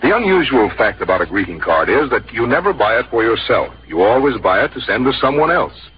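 An American man working as a radio announcer, reading a scripted 1950s sponsor message in a polished, measured, earnest way. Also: medium pitch, big pitch swings, slightly rough. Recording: muffled old radio broadcast.

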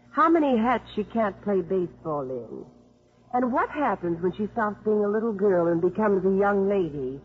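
An actress playing a composed, firm female official in a 1950s radio drama, speaking in a measured, pointed, well-spoken way. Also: medium pitch, close to an everyday voice. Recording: muffled vintage 1950s radio with faint music.

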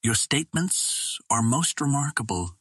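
A man's voice: mid-range voice, expressive, measured pace, fairly bright tone, slightly rough, dry recording, studio quality, voice pushed up. A man voicing a probing, mysterious old Martian host in a storybook, speaking in formal, declarative sentences. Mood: probing, assured.

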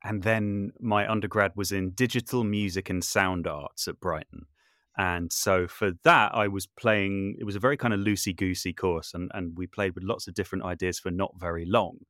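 A man's voice: deep voice, steady tone, normal speed, a bit bright, no room sound, studio quality. A man chatting casually as a guest in an interview. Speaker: podcast guest, audio drama producer.

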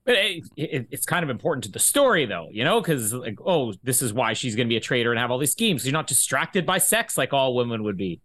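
A man's voice: medium pitch, sing-song, fairly quick, few pauses, fairly bright tone. A man hosting a casual podcast book discussion, thinking aloud in a rambling way. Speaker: podcast host.

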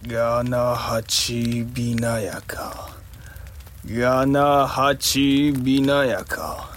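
Exiled emperor, period audio drama: very drawn-out solemn incantation, archaic and imperious, faint ambience. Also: male voice, mid-range voice, steady tone, slight background noise. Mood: solemn chanting. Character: vengeful exiled emperor chanting curses on his enemies. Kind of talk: Japanese historical audio drama acting.

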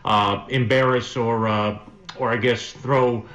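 A man who works as a sports announcer, answering casually as a radio guest in measured, wordy, hesitant phrases. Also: mid-range voice, fairly even intonation, a bit bright. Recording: roomy, echoing sound.

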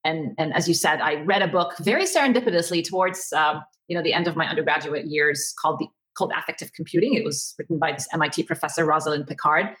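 Fast-talking, animated woman from Egypt. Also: low voice, low-quality recording. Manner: animated, expressive. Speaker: interview guest, tech company co-founder. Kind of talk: conversational interview answers.

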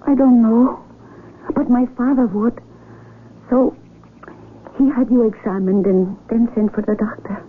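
An actress playing a devoted young sweetheart in a radio drama, tender, imploring and melodramatic. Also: female voice, a little high, steady tone, unhurried, pauses often, phone-quality sound, a little hiss or hum, close to an everyday voice. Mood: hesitant. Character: devoted young sweetheart, pleading gently to be married. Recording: thin, band-limited old radio recording.

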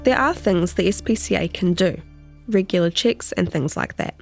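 A woman's voice: on the low side, animated, normal speed, creaky, faint music behind. A woman with a New Zealand accent reading a scripted piece of longform journalism as its narrator.

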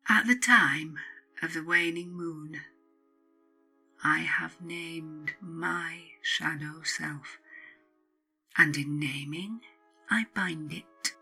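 Woman reading her own spiritual self-help book, really slow and solemn, bright crisp tone, kinda incantatory. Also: low-pitched, faint music behind. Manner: solemn, deliberate, incantatory delivery. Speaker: author and podcast host reading their own book.

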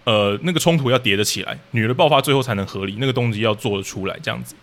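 A man's voice: medium pitch, very fast, fairly bright tone. A man with a Taiwanese Mandarin accent talking casually as an interview guest, explaining his work as a drama director analytically.

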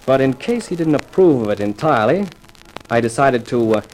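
An actor playing a cool, cocky hard-boiled sleuth in a 1950s radio crime drama, wry and taunting. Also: male voice, mid-range voice, expressive, fairly quick, a little mellow, natural voice. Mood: narrating, wry. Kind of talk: 1950s radio drama acting and first-person narration. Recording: noisy old 1950s radio recording.

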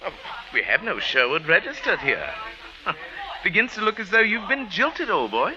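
A breezy British hotel clerk from an old radio drama. His voice is high and swoops freely in a chummy manner. The recording sounds dull. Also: big pitch swings, normal speed, very noisy. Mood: breezy, amused. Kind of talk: 1940s radio acting.

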